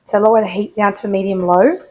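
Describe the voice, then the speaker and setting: A woman with a croaky voice talks through a recipe in her kitchen. She chats casually, asks herself questions and answers them as she cooks, with pitch in the middle of the usual range. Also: steady tone, brisk, a little mellow. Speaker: cooking show host. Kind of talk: casual cooking demonstration.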